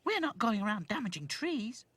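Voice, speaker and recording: A timid girl rag doll from a storybook, acted by a man. Her very high voice whimpers and frets, nervous and gentle. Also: sing-song, moderate pace, dry recording, full character voice. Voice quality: very high-pitched.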